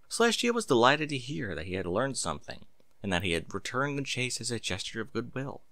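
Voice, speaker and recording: Expressive male storyteller, widely swooping pitch. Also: dry recording. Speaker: narrator reading fan fiction aloud. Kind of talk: expressive scripted story narration.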